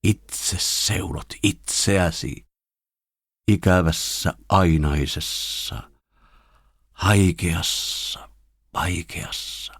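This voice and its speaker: A man reciting as a dead father's somber, consoling spirit, speaking solemn verse slowly from the grave. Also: sits low, fairly even intonation, breathy edge, dry recording, studio quality. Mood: bleak, solemn.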